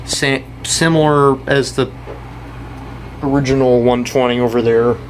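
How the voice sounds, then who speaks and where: A man's voice: medium pitch, steady tone. Young American man, rambling over room noise. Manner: halting, rambling, self-correcting. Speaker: hobbyist video creator. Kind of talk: casual off-the-cuff show-and-tell. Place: indoors in a house. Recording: noisy room with air-conditioner hum.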